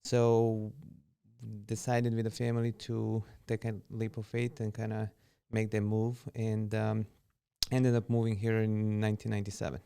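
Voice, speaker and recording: A man in his forties from Serbia, a podcast guest and real estate agent talking in a relaxed, unhurried way with frequent fillers. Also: on the low side, gravelly, smooth. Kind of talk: casual interview conversation.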